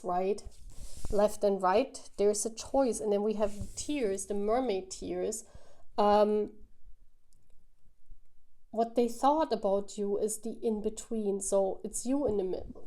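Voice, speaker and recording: A woman giving a casual, intuitive tarot reading to viewers, thinking aloud in a musing, halting way. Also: mid-range voice, mellow. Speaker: tarot reader, host.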